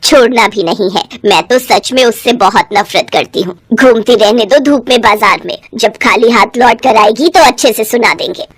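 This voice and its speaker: A woman voicing a spiteful, bossy older mother-in-law in a moral tale, sharp-tongued and taunting. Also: a little high, normal speed, hardly any pauses, no room sound. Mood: hateful.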